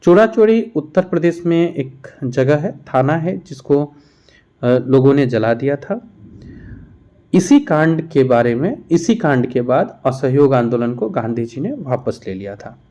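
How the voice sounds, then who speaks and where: A man's voice: medium pitch, big pitch swings, measured pace, dark tone. A man teaching an exam-prep lesson, reading out questions and explaining the answers. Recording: dull, muffled lo-fi sound.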